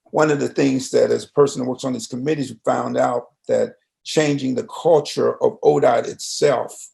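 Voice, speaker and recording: A man's voice: mid-range voice, creaky, darkish tone. A man interviewing in a loose conversational style, plain-spoken, folksy and probing.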